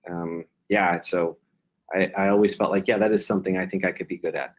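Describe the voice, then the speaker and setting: A man's voice: low-pitched, steady tone, a little fast, dull audio. Reflective man answering on a call. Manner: reflective, repeats himself with fillers and restarts. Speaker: company CEO, keynote guest. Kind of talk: answering questions on a conference call.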